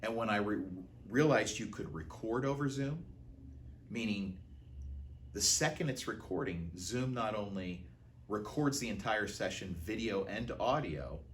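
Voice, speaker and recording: An American man with a low-pitched voice talks in an interview. He recounts things in a conversational, storytelling way. A light layer of background noise sits behind him. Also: lively intonation, unhurried. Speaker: art director of a theater and radio drama troupe, interviewee.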